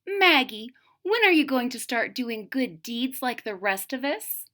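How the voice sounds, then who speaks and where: A woman's voice: sits high, very animated, a little slow, sharp tone, exaggerated voice. A woman voicing a scolding witch mother from a children's storybook, chiding in manner.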